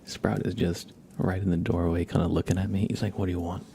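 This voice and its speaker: A man hosting a live ASMR stream in a soft, often whispered voice, chatting informally in loose, hesitant phrases. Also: sits low, flat delivery, brisk. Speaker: ASMR livestream host. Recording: clear background ambient noise.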